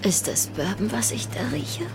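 A woman's voice: medium pitch, sing-song, normal speed, noisy background. An actress playing a downtrodden mother in an audio drama, wary and questioning in her delivery. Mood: guarded. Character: downtrodden mother living with a violent partner.

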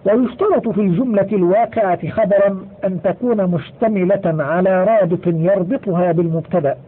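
A man reading a grammar textbook aloud in a measured way, slowing down to stress each example. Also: sits high. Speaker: audiobook reader. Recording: tinny phone-like audio.

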